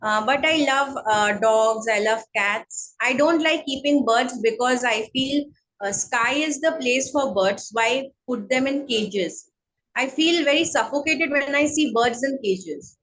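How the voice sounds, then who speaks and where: A woman with a Bengali accent speaking English as a guest in an interview, slow and hesitant with many fillers. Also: sits high, a bit bright, poor audio. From India. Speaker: guest, counselling psychologist. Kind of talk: online interview, casual chat.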